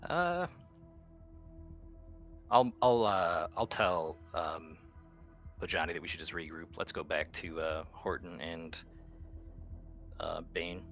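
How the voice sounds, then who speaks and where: A man with a deep voice and a bright, forceful edge plays a tabletop game. He talks casually about his moves, with music faintly behind him. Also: slow, long pauses, lo-fi sound.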